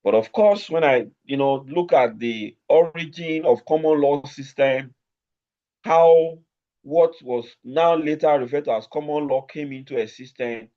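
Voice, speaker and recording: Male law lecturer, slow and emphatic, slightly high voice, muffled online audio. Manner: deliberate, stretched-out emphasis on key words. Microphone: remote call over network.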